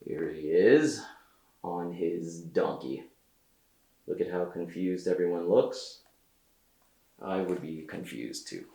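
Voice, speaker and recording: A man reading a children's story aloud as a storyteller, with theatrical delivery and deliberate pauses. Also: sits low, expressive, creaky, dark tone, reverberant. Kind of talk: expressive read-aloud narration for children.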